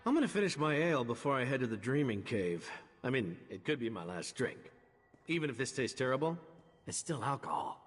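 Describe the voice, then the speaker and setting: An actor playing a chatty, wry, loyal adventurer man in a video game, with a husky voice and a casual, expressive manner. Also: mid-range voice, brisk. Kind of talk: video game character dialogue. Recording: long reverberant hall echo.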